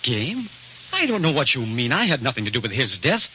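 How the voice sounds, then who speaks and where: A man's voice: mid-range voice, very animated, brisk, bright, forceful tone. A man acting a nervous young man accused of spying in an old radio drama, hesitant, stammering and protesting. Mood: anxious, protesting. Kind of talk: 1930s-40s radio acting. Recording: muffled, hissy old radio recording.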